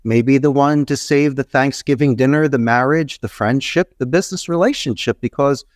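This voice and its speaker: A man's voice: mid-range voice, moderate pace, no room sound, clean studio sound. A middle-aged man from Philadelphia, a guest in an interview, giving rambling motivational business advice with emphatic, repeated phrases. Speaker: podcast guest, call center entrepreneur.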